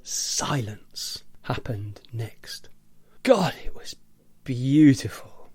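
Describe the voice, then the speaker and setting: A man's voice: mid-range voice, expressive, very slow, a little mellow, slightly rough, no room sound, in a whisper, full character voice. A man acting an agitated, eccentric office worker in rebellion against gadgets, in a theatrical radio play monologue, savouring his words and mimicking nagging voices. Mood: dreamy, savouring.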